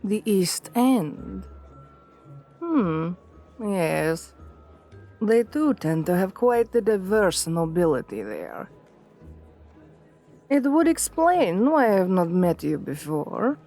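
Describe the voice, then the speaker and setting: A woman's voice: medium pitch, sing-song, slow, long pauses, warm tone, faint music behind. A woman playing an assertive, seductive vampire queen in an ASMR roleplay, formal and courtly, coaxing the listener with veiled menace. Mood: gracious, probing.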